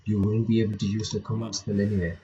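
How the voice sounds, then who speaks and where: A man's voice: fairly low pitch, flat delivery, fast. A man hosting a visual novel playthrough, giving informal commentary and reading the game's text aloud on a home microphone. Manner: informal, reacts aloud between read lines. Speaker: reaction channel host playing a visual novel. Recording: muffled lo-fi mic with faint noise.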